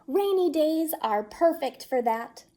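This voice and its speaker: A woman voicing an eager, imaginative young girl in a storybook, with bright, excited delivery. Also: high voice, slow pace.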